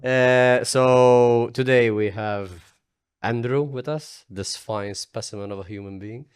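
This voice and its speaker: A man's voice: medium pitch, fairly even intonation, slow pace, clear, resonant tone. A man with a Maltese accent co-hosting a casual podcast, joking and blunt, switching between English and Maltese. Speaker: podcast co-host. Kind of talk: casual chat.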